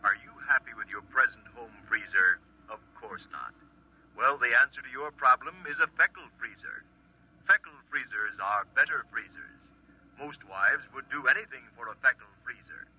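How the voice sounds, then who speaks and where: An actor playing a pushy, repetitive commercial announcer in old radio style, hard-selling with slogans. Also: male voice, medium pitch, expressive, normal speed, breathy edge. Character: pushy, insistent recorded commercial pitchman in radio drama. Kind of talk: mid-century radio advertising announcing. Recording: dull, lo-fi old radio recording.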